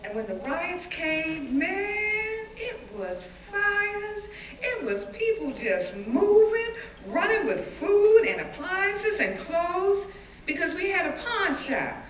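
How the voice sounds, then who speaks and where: Woman acting animated defiant schoolteacher, echoey distant recording. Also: very high-pitched, heavily acted. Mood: animated, urgent. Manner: animated, emphatic storytelling with dramatic pauses. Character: defiant, plain-spoken Baltimore schoolteacher recalling riots. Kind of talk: dramatic stage reading of an oral history. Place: echoey indoor room, live reading before an audience. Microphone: distant microphone. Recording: roomy, noisy hall recording from afar.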